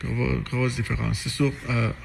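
A man from Iran with a gravelly voice answers slowly, hunting for his words and laughing a little nervously. Clear background noise runs under him. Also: mid-range voice, flat delivery. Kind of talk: casual interview.

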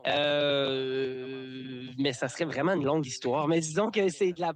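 A man's voice: mid-range voice, unhurried. A man, a circus performer talking casually in a radio interview with many fillers and restarts. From Canada. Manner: relaxed, repeats words, many fillers.

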